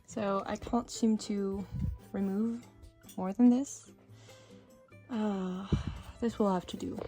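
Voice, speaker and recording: A young woman whose first language is French, chatting casually and cheerfully with lots of fillers as an art vlogger. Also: on the high side. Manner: bubbly, chatty, with many fillers and restarts. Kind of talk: casual chat over crafting.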